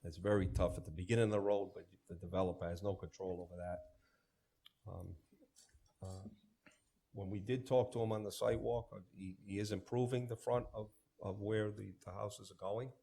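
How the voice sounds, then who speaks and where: A man chairing a town planning board meeting, speaking in a measured, plain-spoken way as public works director. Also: lowish voice, steady tone, creaky, mellow, slightly rough.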